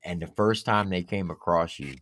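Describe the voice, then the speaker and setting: A woman's voice: very deep voice, moderate pace, no room sound, clean studio sound. A woman hosting a casual live interview, asking questions with a playful, teasing manner. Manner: playful, teasing, lively reactions. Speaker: show host, interviewer.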